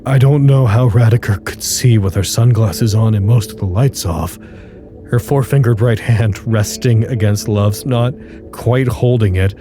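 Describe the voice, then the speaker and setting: An actor in an audio drama monologue playing a grieving, weary facility staffer, subdued, halting and confessional. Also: male voice, lowish voice, music in the background, close to an everyday voice. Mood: somber, grieving. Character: grieving, weary male facility staffer broadcasting a personal log.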